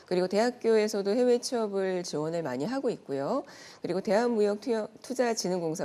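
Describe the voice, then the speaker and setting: A woman giving an expert briefing on a news programme. Her voice is soft-edged and mellow at a middle pitch, with lively rises and falls as she lays out information politely, one point after another. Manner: polite, explanatory, organized point by point. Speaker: expert guest commentator. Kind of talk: prepared explanatory news segment.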